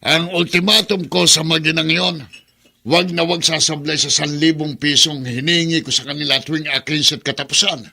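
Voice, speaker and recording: A man's voice: on the high side, fairly even intonation, normal speed, vocal fry, pushed and bright, full character voice. A man playing a menacing, bullying extortionist in a Filipino radio drama. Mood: scheming. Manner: bullying, threatening. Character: menacing, extorting thug uncle.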